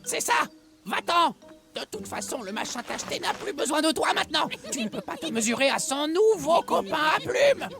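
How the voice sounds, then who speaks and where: Wildly swooping, sneering cartoon troublemaker. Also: female voice, sits high, very animated, moderate pace. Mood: jeering. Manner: taunting, sneering, theatrical. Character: mocking, mischievous animal troublemaker goading the heroes. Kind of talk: animated series dub. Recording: music behind the voice.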